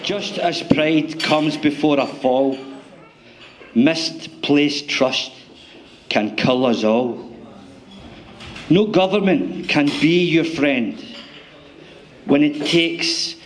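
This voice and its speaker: A man reading a rhyming poem aloud in a slow, deliberate way. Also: a little high, low-quality recording. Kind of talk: poetry reading.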